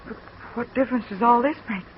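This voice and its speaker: A woman's voice: on the high side, fast talker, natural voice. An actress playing a frightened young woman caught up in a murder, pleading in old radio-drama style. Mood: anxious. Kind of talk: 1940s radio acting. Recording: dull old radio broadcast with faint hiss.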